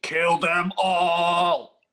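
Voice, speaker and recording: Shrieking, gravelly orc commander. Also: male voice, high-pitched, level tone, heavily acted. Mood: furious, commanding. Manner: shouted, drawn-out commands. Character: furious, bellowing orc army commander. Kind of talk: fantasy comedy table read.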